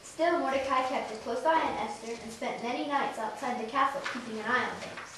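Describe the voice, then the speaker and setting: High-voiced woman narrating in an echoey room. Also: fairly quick. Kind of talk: scripted storytelling narration for children. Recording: very echoey room, distant, noisy.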